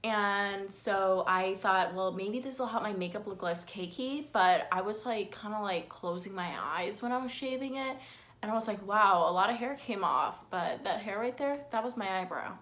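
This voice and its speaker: A woman's voice: mid-range voice, moderate pace, a bit bright. Rambling teenage girl vlogging at home. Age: teens. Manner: rambling, filler-heavy, self-deprecating. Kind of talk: casual facts vlog. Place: bedroom with window blinds, indoors. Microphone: phone camera at close range.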